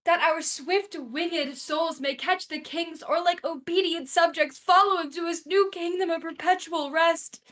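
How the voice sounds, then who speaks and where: Woman playing bereaved queen, high voice, slow lamenting verse, declamatory, radio drama. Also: a bit bright. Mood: anguished. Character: anguished widowed queen, proud and mournful. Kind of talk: Shakespearean radio play acting.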